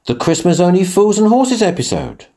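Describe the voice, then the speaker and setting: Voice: mid-range voice, wildly swooping pitch, moderate pace, warm tone. A performer voicing a grumpy, grumbling male grizzly bear in a radio pantomime. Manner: grumbling, sarcastic.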